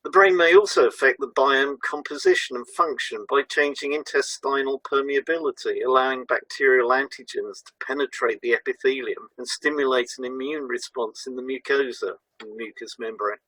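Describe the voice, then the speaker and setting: A man delivers a scripted lesson as a co-presenter. His voice is bright and forward at a middling pitch, recorded dry and close, and his reading is measured and formal. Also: no echo, low-quality recording.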